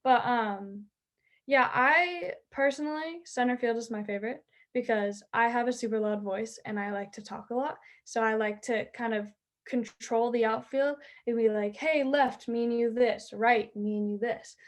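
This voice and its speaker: A teenage girl who plays high school softball, chatting eagerly in an interview with lots of fillers. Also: normal speed. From Southern California. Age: teens. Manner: bubbly, chatty, many "like" and "um" fillers. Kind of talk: interview answers.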